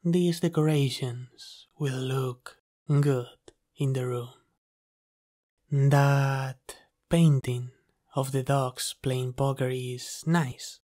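A man voicing a flirty, dominant demon from the underworld in an intimate ASMR roleplay, soft, breathy and often half-whispered. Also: mid-range voice. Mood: casual. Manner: slow, teasing, dominant.

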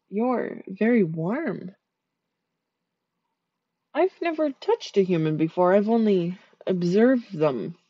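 A woman voicing a sweet, shy female dream eater spirit in a soft, gentle, soothing ASMR sleep-aid roleplay. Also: medium pitch, no echo, dull audio.

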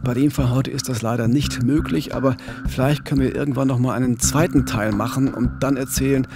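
A man's voice: medium pitch, steady tone, brisk, gravelly. A man in his fifties with a standard German accent, co-hosting a true-crime podcast on a close studio microphone. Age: 50s. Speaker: podcast co-host. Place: small indoor recording studio. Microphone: close studio microphone on boom arm. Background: music.

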